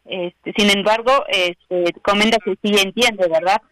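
A man's voice: sits high, steady tone, a little slow, bright, forceful tone, a little hiss or hum, low-quality recording. A man hosting a radio interview, summarizing his guest's words with frequent fillers. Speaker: radio host.

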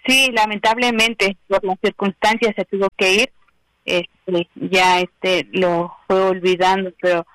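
A man's voice: high-pitched, level tone, unhurried, pushed and bright, no echo, poor audio. A man hosting a radio interview, summarizing his guest's words with frequent fillers. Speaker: radio host.

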